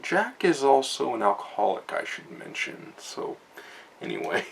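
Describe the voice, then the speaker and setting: A man's voice: medium pitch, big pitch swings, measured pace, creaky. A young man giving a casual, rambling book review from his notes, with many fillers and restarts.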